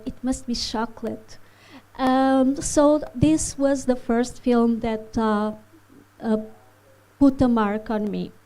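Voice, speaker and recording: Slow, hesitant woman from Portugal. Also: fairly high pitch, mellow, poor audio. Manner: hesitant, many fillers, non-native phrasing. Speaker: animation filmmaker giving a masterclass. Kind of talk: presentation to a live audience. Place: festival hall.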